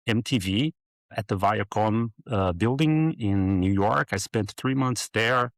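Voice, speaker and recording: Man from Brazil, middle-aged, lowish voice, dry close sound, halting with fillers. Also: lively intonation, no echo. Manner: halting, many fillers and restarts. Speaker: podcast guest. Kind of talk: interview storytelling.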